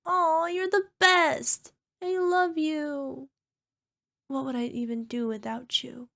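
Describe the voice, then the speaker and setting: A woman's voice: sits high, fairly even intonation, takes it slowly, gravelly, sharp tone, exaggerated voice. A woman playing a small, sheepish cyclops monster girlfriend in an ASMR roleplay, wheedling and making cutesy, defensive excuses. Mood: flustered, affectionate. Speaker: voice actor.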